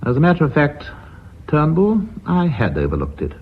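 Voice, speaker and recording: An actor playing a blunt, authoritative company chairman in a radio drama, with an English accent and formal, measured, courteous speech. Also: on the high side, wildly swooping pitch, slightly rough, full character voice. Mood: firm, reasoning. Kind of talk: BBC radio drama acting. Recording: muffled phone-like old radio recording, hiss.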